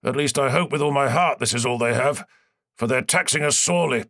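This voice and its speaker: A man doing a gruff starship captain. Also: mid-range voice, a little fast, fairly bright tone, no room sound, studio quality, voice pushed up. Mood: grim. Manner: grim, plain-spoken. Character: gruff loyal male starship captain, blunt.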